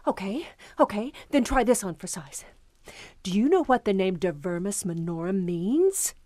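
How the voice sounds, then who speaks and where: A woman's voice: mid-range voice, animated, normal speed, darkish tone, no echo, close to an everyday voice. A woman playing an anxious, suspicious young honeymooning wife in an audio drama. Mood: frightened, insistent. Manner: hesitant, anxious, insistent.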